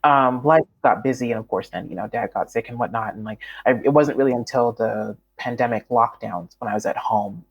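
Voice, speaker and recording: Husky-voiced woman, reflective interview guest. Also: rumbling low, brisk, hardly any pauses, highs cut off. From Canada. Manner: reflective, many fillers and restarts. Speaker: podcast guest, writer. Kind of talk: conversational interview.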